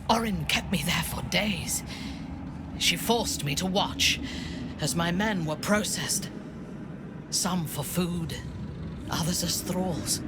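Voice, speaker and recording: A woman voicing a hardened warrior recounting her captivity in a low, half-whispered voice. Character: hardened warrior recalling captivity, grim and controlled. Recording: game scene with loud ambient noise.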